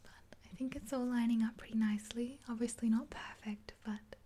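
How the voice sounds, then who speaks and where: A woman in her twenties doing an ASMR roleplay in a gentle, unhurried, breathy whisper, reassuring and close to the mic. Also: fairly high pitch, steady tone. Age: 20s. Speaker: ASMR performer playing a makeup helper. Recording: hissy close-up with clicks.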